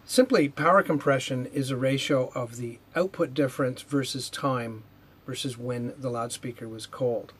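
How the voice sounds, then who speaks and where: Guy in his 50s, bit husky, mid pitch, presenting a technical lesson, measured and matter of fact. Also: a bit bright. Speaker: presenter, loudspeaker R&D manager. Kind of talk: unhurried technical explanation to camera. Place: indoor video studio with whiteboard.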